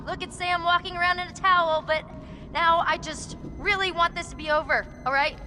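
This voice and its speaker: An actress playing a sassy, expressive young woman trapped at a spooky lodge, in a naturalistic game cutscene. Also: very high voice, fairly quick, slight background noise. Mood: uneasy, exasperated. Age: young adult. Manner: sarcastic, expressive.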